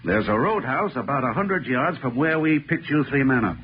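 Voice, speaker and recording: An actor playing a stern, suspicious American small-town mayor, curt and accusing, in 1940s radio drama style. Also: male voice, medium pitch, lively intonation, moderate pace, husky. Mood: stern, firm. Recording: dull, noisy old radio recording.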